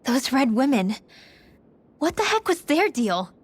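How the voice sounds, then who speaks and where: A woman's voice: high voice, sing-song, measured pace, slightly rough, no room sound, full character voice. A feisty, outspoken young woman. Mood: incredulous. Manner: blunt, emphatic. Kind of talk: video game cutscene acting.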